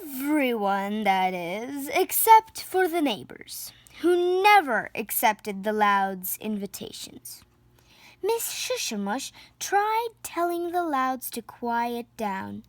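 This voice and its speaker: A woman's voice: fairly high pitch, very animated, slow pace, dry recording. A woman reading a children's picture book aloud expressively, theatrically stressing the sound words. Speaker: storybook reader.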